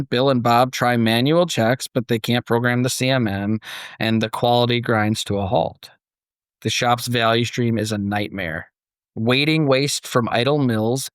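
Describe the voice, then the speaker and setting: A man's voice: medium pitch, smooth, no room sound, studio quality. A man hosting a podcast, moving between dramatic story narration and casual discussion.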